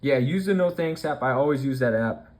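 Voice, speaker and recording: A man's voice: medium pitch, lively intonation, brisk, darkish tone. Offhand man rambling through a stream. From Alaska, United States. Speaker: streamer host. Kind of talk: casual commentary on screen content.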